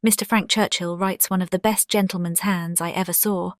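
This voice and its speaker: Actress as a spirited young lady, British accent, witty, self-assured, expressive, classic novel drama, dry studio sound. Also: lowish voice, fairly quick, no room sound, close to an everyday voice. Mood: bright, insistent. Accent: British English.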